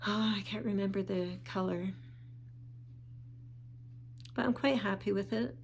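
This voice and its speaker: A woman talking casually in a show-and-tell vlog, calm and unhurried with small pauses, sounding a bit sniffly. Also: medium pitch, creaky, a little hiss or hum. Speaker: vlogger.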